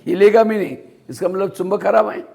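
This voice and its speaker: A man's voice: sits high, brisk, gravelly, mellow. A man giving a spiritual class, telling anecdotes and asking rhetorical questions that he answers himself. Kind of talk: Hindi spiritual discourse.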